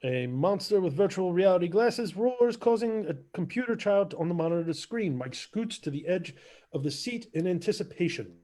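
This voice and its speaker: A man narrating stage directions in a scripted table read, matter-of-fact and a little halting. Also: high voice, lively intonation, dark tone.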